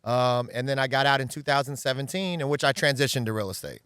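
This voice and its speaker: A man in his thirties from the American West Coast talks through his story as an interview guest. His voice is smooth and resonant, at a middle pitch, and it sounds dry and close. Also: fast talker, a bit bright, no room sound. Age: 30s. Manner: earnest, talkative, often says "right?" and "um". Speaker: guest, real estate agent. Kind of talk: casual interview talk. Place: outdoors in a backyard.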